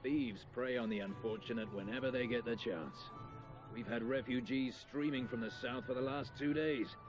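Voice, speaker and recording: An actor playing a weary templar knight in a fantasy game, giving grave, plain-spoken warnings. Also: male voice, medium pitch, steady tone, normal speed, lightly acted. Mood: weary, cautionary. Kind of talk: fantasy video game dialogue acting. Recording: dull, band-limited game audio, music behind.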